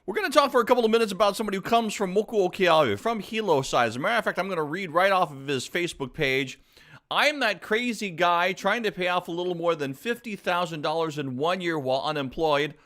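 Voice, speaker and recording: A man's voice: high-pitched, sharp tone. A man hosting a live talk show, upbeat and exclamatory, drawing out his words as he introduces and questions a guest.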